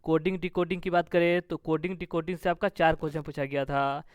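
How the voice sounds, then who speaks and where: Male coaching instructor, very clean smooth voice, close dry sound, formulaic question-then-answer delivery. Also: a little high, level tone, fast talker, no echo. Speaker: exam coaching teacher.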